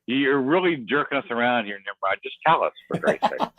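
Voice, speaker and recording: A man's voice: medium pitch, lively intonation, fairly quick. A man from the United States chatting casually on a live stream, blunt, teasing and grumbling. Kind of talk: casual chat. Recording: dry tinny phone-like line.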